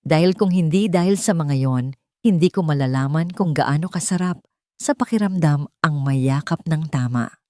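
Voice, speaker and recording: A Filipino woman playing a gentle, grateful wife and mother in a narrated story, soft and heartfelt. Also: sits low, moderate pace, no echo, studio quality, natural voice. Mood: grateful, moved.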